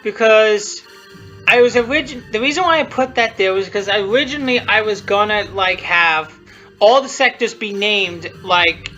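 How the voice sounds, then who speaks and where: Bright, muffled, halting amateur commentator. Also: mid-range voice. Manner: halting, repetitive, often restarting sentences; blunt, self-deprecating, casual swearing. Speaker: creator giving commentary on own video. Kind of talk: rambling unscripted video commentary. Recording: muffled lo-fi audio, music behind.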